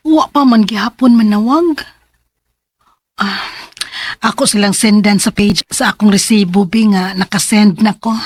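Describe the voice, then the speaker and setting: A woman's voice: mid-range voice, a little slow, close to an everyday voice. An actress playing a trusting, desperate young female job seeker in a radio drama, with a Cebuano accent. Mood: anxious. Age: young adult. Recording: music bed behind.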